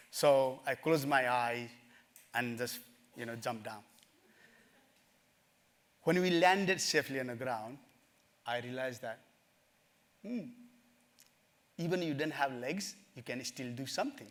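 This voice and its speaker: A middle-aged man from Nepal, telling his life story in a summit talk, earnest and halting, with many fillers. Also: medium pitch, a bit bright. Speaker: speaker at a summit. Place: conference stage.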